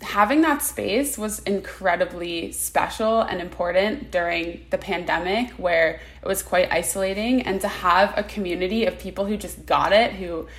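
A woman in her twenties, speaking as an interview guest in an earnest, quick way over a video call. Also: fairly low pitch, fairly bright tone. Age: 20s. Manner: earnest, fast-talking. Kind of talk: casual interview answers.

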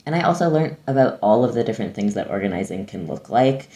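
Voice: mid-range voice, expressive, quick pace, warm tone. A young adult in their twenties, answering interview questions thoughtfully and hesitantly over a video call. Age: 20s. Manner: thoughtful, hesitant, many fillers. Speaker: guest.

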